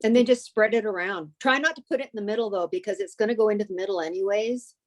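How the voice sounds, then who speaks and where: Woman co-host, friendly advice-giver, casual and filler-heavy, mid-pitched, on a video call. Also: quick pace. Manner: friendly, gives step-by-step advice with many fillers. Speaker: co-host guiding the painter. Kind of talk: casual chat.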